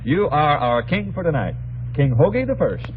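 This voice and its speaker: Animated mock-courtly male radio host. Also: a little high, normal speed. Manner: grandiose, mock-courtly phrasing. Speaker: radio show host and announcer. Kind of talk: scripted 1940s radio variety banter. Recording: muffled old radio recording, faint noise.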